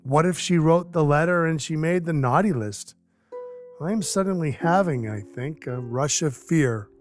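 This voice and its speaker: A man acting in an audio drama as an awkward, gruff but kindhearted Christmas dwarf who rambles earnestly and runs himself down. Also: a little high, unhurried, close to an everyday voice. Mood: anxious, urgent. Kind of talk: audio drama acting with first-person narration. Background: music under the voice.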